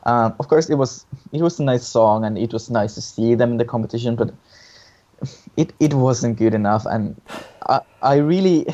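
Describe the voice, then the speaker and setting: Mellow-voiced man, hesitant, in dull audio. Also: medium pitch, moderate pace. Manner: hesitant, repeats words. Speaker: co-host reviewing song contest entries. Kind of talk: casual opinion chat. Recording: dull lo-fi sound.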